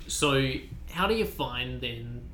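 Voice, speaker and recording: A man's voice: medium pitch, animated, slow, pushed and bright. A man hosting a podcast, asking long, chatty questions that trail on in a casual interview. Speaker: podcast host. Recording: echoey room with faint background hum.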